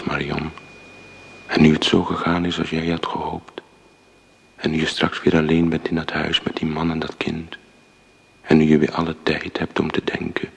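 An actor in a Dutch radio drama playing a brooding, reproachful man, speaking in measured, repeating phrases. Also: a bass voice, gravelly, husky, exaggerated voice. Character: brooding, reproachful man left behind by his beloved.

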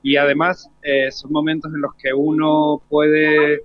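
A man's voice: a little high, completely flat delivery, unhurried, dry recording. A man with an Argentine accent, an arts teacher talking reflectively and unscripted in an interview. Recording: dull lo-fi live broadcast sound.

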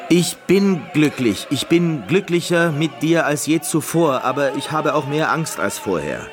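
An actor playing a neurotic, fragile, obsessive concert pianist in a radio play, with a halting, fussy manner. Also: male voice, fairly high pitch, expressive, faint music behind. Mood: anxious, earnest. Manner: halting, stammering, fussy, self-absorbed.

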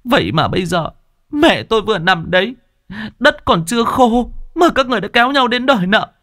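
A high-pitched, shrill young woman played by a male storyteller, grieving and enraged. She hurls defiant accusations through tears in an audio drama story, her pitch leaping, over faint music. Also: very animated, brisk, a bit bright, no room sound, studio quality. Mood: tearful fury. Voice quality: shrill, tearful.

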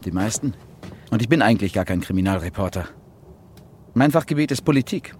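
A man's voice: on the low side, animated, brisk, a little mellow, slight background noise, lightly acted. A man playing a wry, slightly awkward young journalist in a naturalistic radio drama, with a dry, ironic manner. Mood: awkward, eager.

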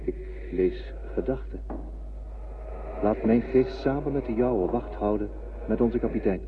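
An actor playing an eerie mind-reading spaceship crewman in a radio drama, solemn and deliberate. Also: on the low side, phone-quality sound, close to an everyday voice. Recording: distant, phone-thin sound with faint noise.

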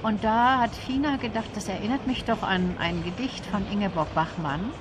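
A woman's voice: medium pitch, normal speed, noisy background. A woman guest in a radio interview, explaining things in a thoughtful, detailed way. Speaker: interview guest, literary event organiser.

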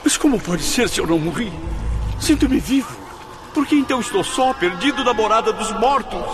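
A high, tormented blind soul. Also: male voice, lively intonation. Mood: despairing. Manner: theatrical, tormented, halting and desperate. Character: anguished, blinded man trapped in afterlife torment after suicide. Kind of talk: radio drama acting. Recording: dramatic music under the voice.

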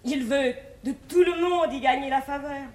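Woman acting high-voiced sensible young stage heroine. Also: normal speed, lightly acted. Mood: reasoning, gently teasing. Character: sensible, poised young woman in love, gently advising. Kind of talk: 1950s French classical stage comedy acting in verse. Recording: echoey, hissy 1950s theatre recording.

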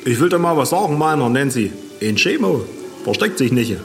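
An actor playing a sly, chatty snack-bar owner with slangy, colloquial speech in a radio drama. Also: male voice, mid-range voice, big pitch swings, a little fast. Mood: gossiping, knowing. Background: music behind.

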